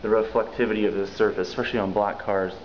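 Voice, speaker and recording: A man's voice: lowish voice, steady tone, quick pace, dull audio, noisy background. A young man filming a casual vlog, joking and explaining car care to the camera on a handheld camera. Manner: upbeat, joking, talks to the camera. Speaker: vlogger. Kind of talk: casual road-trip vlog with detailing tips.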